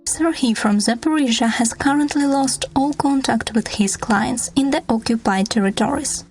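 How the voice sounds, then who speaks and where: Woman narrator with a slightly high voice. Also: moderate pace, a little mellow, faint music behind, low-quality recording. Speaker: podcast narrator. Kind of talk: scripted documentary narration.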